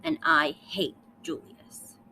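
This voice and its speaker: A woman's voice: sits low, big pitch swings, slow, bright, forceful tone, a little hiss or hum, exaggerated voice, poor audio. A woman voicing a jealous, bossy young girl in a storybook, with emphatic, dramatic declarations. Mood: imperious.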